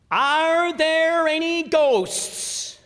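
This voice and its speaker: A man's voice: very high-pitched, fairly bright tone, exaggerated voice. A blustering, good-natured working-class dad played by a man in an old radio comedy. His folksy, ungrammatical talk swings widely up and down, and it rings through a reverberant hall. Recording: reverberant hall stage recording.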